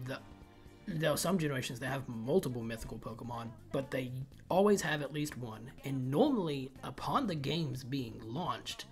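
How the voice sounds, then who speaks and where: A man giving casual, informal and rambling video commentary as a gaming creator, with a slight speech impediment. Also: fairly high pitch. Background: background music.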